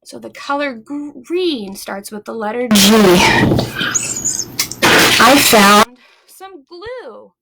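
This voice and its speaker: Woman, high-pitched, slow-paced, children's librarian, animated, encouraging, simple repeated phrases. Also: very noisy. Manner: encouraging, animated, addressing young children directly. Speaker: children's librarian hosting story time. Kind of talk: children's story time with chants, songs and simple explanations.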